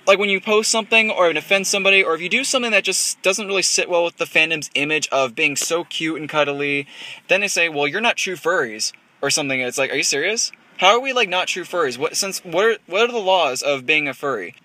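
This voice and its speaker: A young man in a casual group chat, talking in an animated, incredulous way. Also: fairly high pitch, quick pace.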